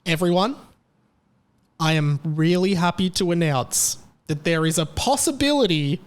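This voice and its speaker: Chatty, excitable man hosting. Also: a little slow, clean tone. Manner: chatty and excitable, with animated pitch jumps. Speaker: podcast host. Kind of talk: casual chat.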